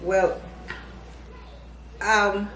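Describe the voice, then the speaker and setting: An older woman with a Jamaican accent, a grandmother answering interview questions thoughtfully, with pauses. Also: mid-range voice, little pitch movement, a bit bright, slight background noise. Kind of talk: casual interview. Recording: echoey room.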